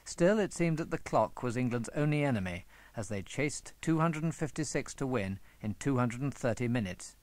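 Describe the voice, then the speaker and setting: A man reading a scripted historical narration about sport in a measured documentary style. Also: medium pitch, lively intonation, darkish tone, dry recording.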